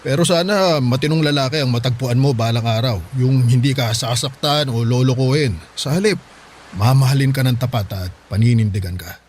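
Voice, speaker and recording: A man's voice: medium pitch, brisk, a little hiss or hum, close to an everyday voice. A man playing a hardworking middle-aged father in a Filipino radio drama, plain-spoken and reassuring, with a Filipino accent. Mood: reflective, advising. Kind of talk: radio drama acting in Tagalog.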